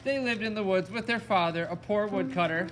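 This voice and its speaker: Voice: medium pitch, fairly quick, music in the background. A narrator telling a fairy tale in a storytelling style for a children's puppet show.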